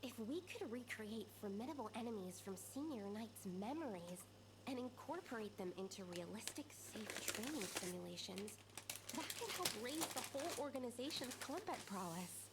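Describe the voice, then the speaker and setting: A woman's voice: on the high side, expressive, normal speed. A woman voicing an earnest young alchemist with a precise, polite manner. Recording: streamed game audio with noise.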